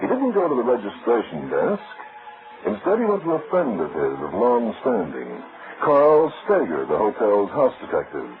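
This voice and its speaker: An actor narrating a detective drama in a formal, scripted old-time radio style. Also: male voice, medium pitch, huge pitch swings, moderate pace. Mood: measured, formal. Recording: muffled, noisy old radio recording.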